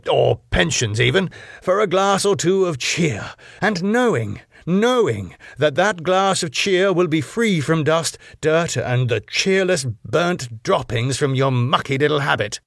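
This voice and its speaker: A man's voice: a little high, lively intonation, normal speed, few pauses, no room sound, clean studio sound, voice pushed up, close to an everyday voice. A man voicing an exasperated, long-suffering middle-aged bar manager in a script reading, wordy and sarcastic.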